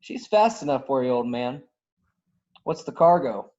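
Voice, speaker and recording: A man's voice: mid-range voice, lively intonation, a little slow, dark tone, close to an everyday voice. A man playing a cocky, tough, roguish star pilot of about thirty in an online script reading. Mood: boastful. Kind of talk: script table read. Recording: dull, lo-fi online call.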